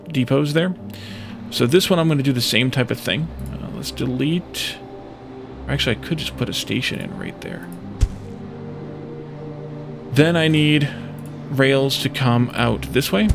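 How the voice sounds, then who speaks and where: A man with a gravelly, creaky voice talks through a game as he plays it, with music clearly behind him. He sounds casual and tentative, musing to himself as he works things out. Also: mid-range voice. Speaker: let's play host. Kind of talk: casual gameplay commentary, thinking aloud.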